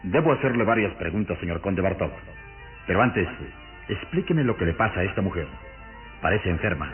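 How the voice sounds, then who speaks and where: An actor playing an earnest, suspicious police inspector in a radio drama, questioning dramatically and with nervous resolve. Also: male voice, deep voice, expressive, brisk. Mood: suspicious, formal. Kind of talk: old Latin American radio drama acting. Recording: muffled old radio recording with music.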